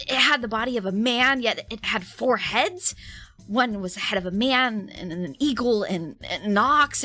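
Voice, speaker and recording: A woman's voice: on the high side, bright, forceful tone, pitched above the performer's own voice, full character voice. A woman voicing an alarmed, bewildered male British police chief who tells his story dramatically, in a put-on British accent. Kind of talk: dramatic first-person story reading.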